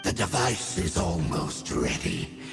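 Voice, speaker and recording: An actor voicing a reverent monstrous herald of a dark order, formal and deferential in a gravelly voice, for a video game. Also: male voice, low voice, wildly swooping pitch, takes it slowly, heavily acted. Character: reverent monstrous Abyss herald serving a royal. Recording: echoing, loud music behind.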